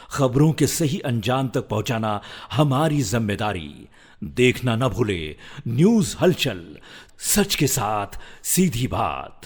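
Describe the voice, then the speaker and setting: A middle-aged man delivering scripted voice-over narration in a theatrical, measured and deliberate manner. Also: medium pitch, husky. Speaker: voice-over artist and actor.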